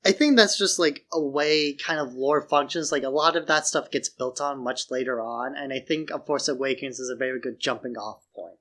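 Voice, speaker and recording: A man's voice: mid-range voice, normal speed, hardly any pauses, fairly bright tone. A man co-hosting a podcast, musing informally about films and often dropping "like" into his sentences. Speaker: podcast co-host. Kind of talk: casual film discussion.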